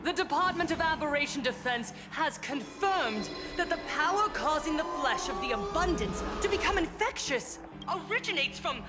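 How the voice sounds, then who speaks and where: A woman's voice: sits high, lively intonation, normal speed. An actress voicing a scheming, persuasive female broadcaster in a game. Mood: urgent. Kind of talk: voiced game dialogue. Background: game music.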